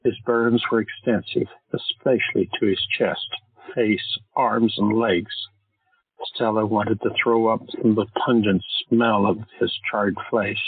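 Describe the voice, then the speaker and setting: A man narrates an audio drama in a low, slightly husky voice with a steady, measured delivery. The sound is thin and tinny, as if over a phone, with faint music behind. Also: no echo.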